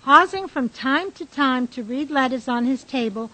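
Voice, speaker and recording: A woman's voice: high voice, animated, moderate pace, bright, forceful tone. A woman reading scripted narration and stage directions for a radio drama.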